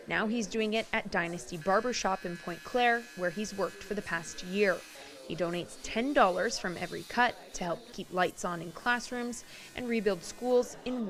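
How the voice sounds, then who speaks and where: A woman's voice: medium pitch, a bit bright. A woman narrating a scripted television news story as a reporter.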